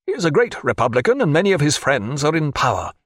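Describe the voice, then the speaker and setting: A husky, high-pitched merchant frets and fusses in a voice that leaps up and down. He pleads and grumbles by turns, easily worked up, as a narrator puts him on. The recording is close and dry. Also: fast talker, dry recording. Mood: confiding. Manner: fussy, excitable, pleading. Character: fretful middle-aged Boston merchant man. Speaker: former loyalist and merchant.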